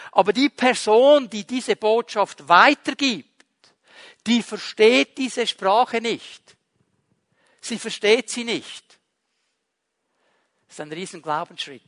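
A man's voice: high voice, a bit bright, dry recording. A man teaching a Bible passage, repeating key points and speaking straight to the listener. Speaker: preacher.

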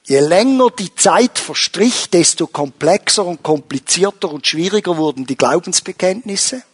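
A man preaching a sermon to his congregation, rising in pitch and volume when he stresses a point. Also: moderate pace, slightly rough. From Switzerland. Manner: animated, rises in pitch and volume for emphasis. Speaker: pastor.

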